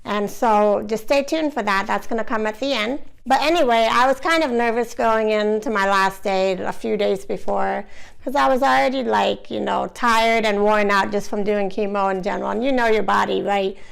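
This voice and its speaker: A hoarse woman chatting to camera on her own channel, her voice gravelly with fry yet still smooth underneath. She talks loosely and conversationally, leaning on fillers as she goes. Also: mid-range voice, normal speed, rough recording. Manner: chatty, many "you know" fillers. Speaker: vlogger, channel host. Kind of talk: casual to-camera chat.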